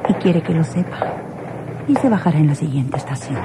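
A woman's voice: sits low, expressive, fairly quick. An actress playing a young woman train passenger, polite but anxious, with a Mexican accent in a radio soap opera. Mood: puzzled, musing. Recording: noisy old radio drama recording.

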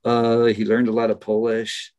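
A man's voice: on the low side, normal speed. Chatty middle-aged man on a video call. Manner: relaxed, chatty, laughs often, some restarts. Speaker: interview guest, biologist. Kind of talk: casual video-call interview. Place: office with bookshelf. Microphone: video call webcam.

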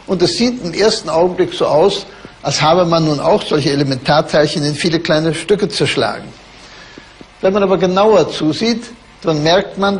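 A man's voice: high-pitched, a little hiss or hum. A man giving a careful, formal scientific explanation, step by step.

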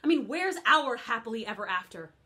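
A high, bright-voiced fairy godmother who gossips and grumbles about going unthanked, as a woman acts her out. Her pitch leaps around as she complains. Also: big pitch swings, a little fast. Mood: aggrieved. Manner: chatty, complaining, indignant. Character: grumbling middle-aged female fairy godmother, put-upon. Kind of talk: comic monologue.

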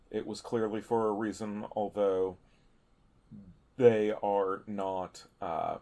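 A man playing a tabletop role-playing game, speaking in a measured, wordy, dry way as he improvises dialogue. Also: fairly low pitch, darkish tone. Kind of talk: casual game chat and improvised in-character dialogue.

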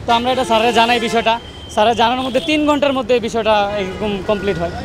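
A man's voice: very high-pitched, racing, a loud crowd. A man working at a petrol station, explaining eagerly to a reporter outdoors. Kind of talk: street interview. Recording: traffic noise outdoors.

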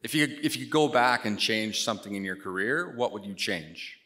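A man's voice: lowish voice, lively intonation, brisk, sharp tone. A man in the audience asking a light-hearted question in a casual way.

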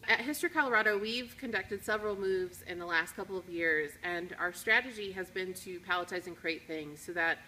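Bright-voiced woman explaining in a warehouse. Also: lowish voice, a little hiss or hum, low-quality recording. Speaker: collections manager. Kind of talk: interview. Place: warehouse indoors.

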